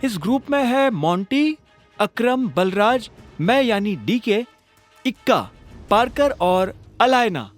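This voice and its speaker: Man voicing a high-pitched, animated, bossy manager. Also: slow, faint music behind, heavily acted. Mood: conspiratorial, animated. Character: excitable bank manager, secret gang member, bossy and sly.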